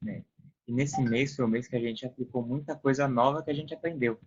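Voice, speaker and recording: Brazilian man, mid pitch, dull lo-fi audio. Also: a little fast, slightly rough. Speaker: podcast guest, affiliate marketer. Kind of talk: casual, explanatory interview talk. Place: online video call.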